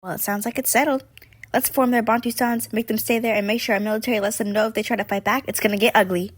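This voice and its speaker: A woman's voice: on the high side, steady tone, fast talker, no echo, lightly acted. A woman voicing a smug, gleefully cruel colonizer puppet with theatrical delivery in an amateur puppet show. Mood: scheming, gleeful.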